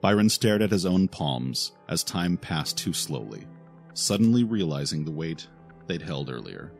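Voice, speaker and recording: A man narrating a scripted fantasy audio drama in a solemn, deliberate way. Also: a bass voice, very animated, vocal fry. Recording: faint music behind the voice.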